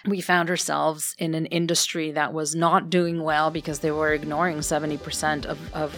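A woman from Ukraine whose first language is Russian, answering interview questions thoughtfully with many pauses and ums. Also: sits low, flat delivery, a bit bright, soft background music. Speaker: guest, fashion brand founder. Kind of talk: casual interview chat.

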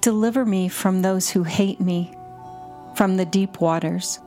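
A woman with a mid-range voice reads as a prayer guide, very unhurried and deliberate. Her phrases come short and measured, in a contemplative devotional style. Music plays beneath her voice. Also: medium pitch, dark tone. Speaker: prayer guide and podcast host. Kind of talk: slow, repetitive guided contemplative prayer reading. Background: music under the voice.

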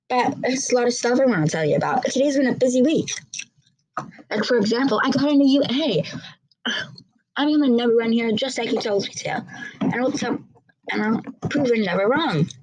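A woman voicing a timid, eager teenage girl student who talks haltingly with many restarts. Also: on the high side, a little hiss or hum, voice pushed up, close to an everyday voice, low-quality recording.

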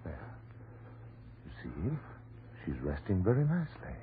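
Low-voiced, firm radio-drama doctor. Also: male voice, wildly swooping pitch, measured pace, exaggerated voice. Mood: reluctant, hushed. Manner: firm, reasoning, soothing. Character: steady, authoritative male doctor calming a distraught friend. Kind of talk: 1940s American radio horror acting. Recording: muffled, faintly noisy old radio recording.

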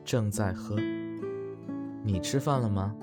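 A man playing a laid-back young actor in an audio drama, speaking in an unhurried, understated, dryly teasing way. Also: on the low side, over loud music. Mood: relaxed, teasing. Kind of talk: modern radio drama acting.

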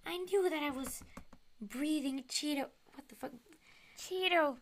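A young woman reading a fanfiction aloud with friends, deadpan and swearing often. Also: high-pitched, a little slow. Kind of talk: casual comedic reading.

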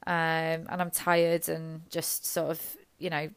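Low gravelly woman, hoarse. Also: monotone, no echo. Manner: halting, rambling, self-deprecating. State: hoarse from illness. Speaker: nurse. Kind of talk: reflective personal monologue.

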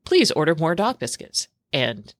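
A woman's voice: low voice, big pitch swings, measured pace, no room sound, clean studio sound. A woman hosting a tech podcast, talking in a chatty, informal way with lots of "like" thrown in. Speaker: podcast host. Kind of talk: casual tech podcast chat.

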